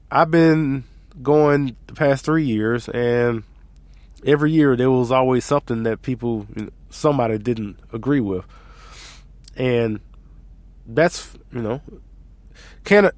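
A man's voice: mid-range voice, no room sound. An American man with a stuffy, allergy-congested nose hosts a podcast in a casual, rambling, self-deprecating way. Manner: self-deprecating, chuckles at himself. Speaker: podcast host.

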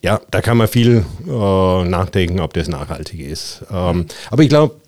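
Danish-accented middle-aged man, very low voice, dry close recording, easygoing hesitant talk. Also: no echo. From Denmark. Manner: relaxed, halting with many fillers. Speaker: guest, custom tailor. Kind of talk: casual interview talk.

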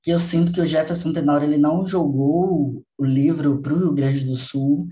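A man's voice: a little high, fairly even intonation, moderate pace, mellow. A man from Bahia, hosting a podcast and speaking earnestly and reflectively, repeating phrases for emphasis. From Bahia, Brazil. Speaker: podcast host. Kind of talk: casual podcast conversation.

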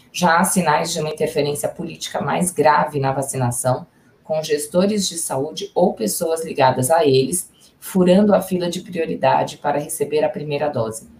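Brazilian female co-anchor, very low voice, steady newsreading, slight background noise. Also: poor audio. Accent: Brazilian Portuguese. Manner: measured newsreading with disapproving remarks. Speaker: news show co-host. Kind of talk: reading news aloud.

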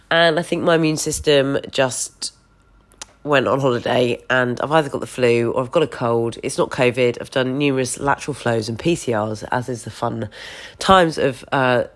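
A woman in her thirties with a stuffy, bunged-up voice, hosting a candid solo podcast from her sofa and recorded on her phone. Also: rumbling low. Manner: candid, gently meandering with many fillers. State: congested, stuffy nose. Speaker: podcast host. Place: home sofa.

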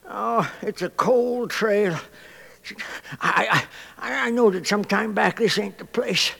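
Wheezy, high-voiced old prospector. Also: male voice, vocal fry, sharp tone, full character voice. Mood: pained, weary. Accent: American. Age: older. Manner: halting, rustic, repeats words. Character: dying old prospector, stubborn, gold-obsessed, folksy. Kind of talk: 1950s radio western acting.

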